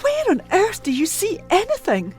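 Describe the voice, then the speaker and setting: A woman's voice: very high-pitched, huge pitch swings, moderate pace, a little mellow, heavily acted. A woman playing a fretful, kindly, motherly housekeeper in a gothic period audio drama. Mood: distressed. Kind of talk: audio drama acting, period literary dialogue. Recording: music clearly playing behind voice.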